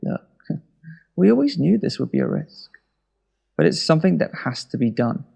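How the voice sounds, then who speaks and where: A man playing a controlled, ruthless government minister in an audio drama, with a measured, coaxing way of talking. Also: a little high, big pitch swings, creaky, darkish tone, breathy edge, full character voice. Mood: cold resolve. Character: calm, ruthless male government minister hiding a conspiracy.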